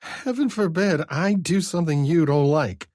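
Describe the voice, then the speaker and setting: A male narrator voicing a sad, sharp-tongued young woman who answers with tearful but wry retorts. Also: fairly high pitch, sing-song, unhurried, no room sound, studio quality, pitched above the performer's own voice. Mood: tart.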